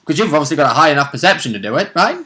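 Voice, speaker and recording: A man's voice: fairly high pitch, big pitch swings, racing, sharp tone. A man chatting casually as a co-host, reacting and adding comments about a tabletop role-playing game. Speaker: co-host and player reacting to the recap.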